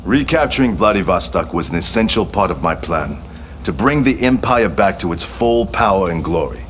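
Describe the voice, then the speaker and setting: A man's voice: sits low, expressive, moderate pace, fairly bright tone, highs cut off, a little hiss or hum. A man acting a proud, vengeful Japanese prince in a game cutscene, speaking formally and solemnly with grandiose command. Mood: satisfied, grand.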